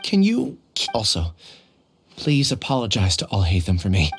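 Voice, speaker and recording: A woman playing a soft-spoken, earnest, regretful young character in a video game, speaking in a halting, reflective way. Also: extremely low pitch, wildly swooping pitch, a little hiss or hum, full character voice. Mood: wistful.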